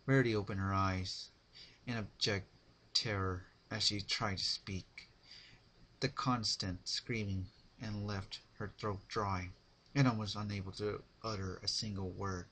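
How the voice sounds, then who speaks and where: A man's voice: lowish voice, fairly even intonation, measured pace, a bit bright, poor audio. A man narrating a dramatic reading of fan fiction, with some faint noise in the recording.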